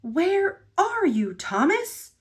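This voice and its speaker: A woman's voice: very high-pitched, sing-song, drawn-out words, pitched above the performer's own voice, heavily acted. A woman voicing a kind, determined male steam engine friend in a children's storybook, reassuring and caring in manner. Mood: calling out.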